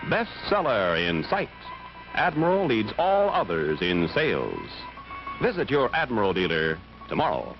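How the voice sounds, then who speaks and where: A man's voice: fairly low pitch, wildly swooping pitch, a little slow. A man reading scripted mid-century broadcast advertising as an announcer, with an emphatic sales delivery. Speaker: commercial announcer. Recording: muffled vintage broadcast. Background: loud music.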